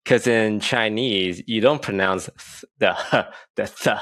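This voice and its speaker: A man's voice: fairly low pitch, measured pace, a bit bright, no echo. A man in his thirties from Malaysia, co-hosting a casual podcast chat and telling stories with a wry edge. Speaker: podcast co-host.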